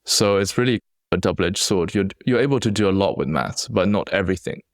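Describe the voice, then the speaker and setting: Deep-voiced young man with Chinese-British accent. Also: lively intonation, brisk, no room sound, clean studio sound. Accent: Chinese-accented English with British influence. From China. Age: young adult. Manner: reflective, earnest, with frequent restarts. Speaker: podcast host. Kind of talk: solo podcast storytelling.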